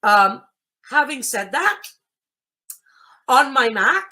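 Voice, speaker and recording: A woman's voice: high-pitched, sing-song, slow pace, bright, forceful tone. A woman whose first language is Greek, hosting a casual computer tutorial and chatting through each step as she thinks aloud. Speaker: host.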